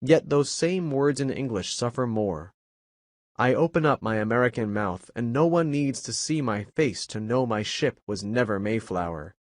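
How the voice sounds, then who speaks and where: Proud old grandfather read by a man, slow and dignified, storybook, dry room. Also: mid-range voice, lively intonation, no room sound, close to an everyday voice. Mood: reflective.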